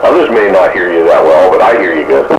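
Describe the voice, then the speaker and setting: A man's voice: low-pitched, animated, quick pace, muffled recording, a loud crowd. A man in casual two-way radio chat, a CB operator with a wry, quippy way of talking. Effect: two-way radio transmission. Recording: noisy CB radio audio.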